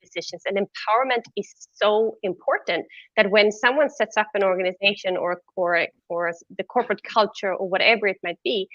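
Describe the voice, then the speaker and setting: Swedish-accented woman, bright and dry. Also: medium pitch, fairly quick, hardly any pauses, no room sound, highs cut off. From Sweden. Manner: open and candid, laughing easily. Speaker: guest, entrepreneur. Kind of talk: interview answers.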